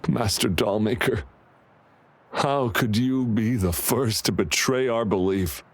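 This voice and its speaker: A man's voice: lowish voice, slow pace. An actor playing a devoted, protective father in a video game, talking tenderly and anxiously. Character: devoted protective father, middle-aged man.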